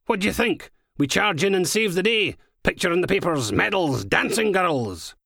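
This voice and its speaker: A bossy Scottish policewoman, acted out by a male audiobook reader, pitched up well above his narration. She is brash, sarcastic and impatient, with a mocking edge, recorded very dry. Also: sing-song, normal speed, creaky, a bit bright, breathy edge, no room sound, full character voice. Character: bossy, sarcastic, foul-mouthed female police inspector.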